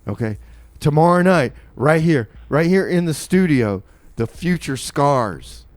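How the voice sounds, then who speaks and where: A man's voice: a little high, expressive, a little slow, dry recording. A man hosting a community radio show, announcing casually and rambling, often repeating his phrases.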